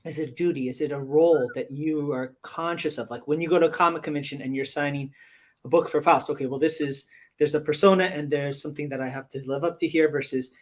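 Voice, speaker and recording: Eager man with a fairly high voice. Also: steady tone, fast. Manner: eager, asks long winding questions. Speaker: host. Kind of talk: casual interview talk.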